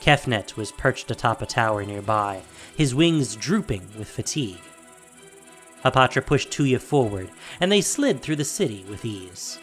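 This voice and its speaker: Animated male fantasy narrator. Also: mid-range voice, unhurried, faint music behind. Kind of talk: scripted dramatic fantasy narration.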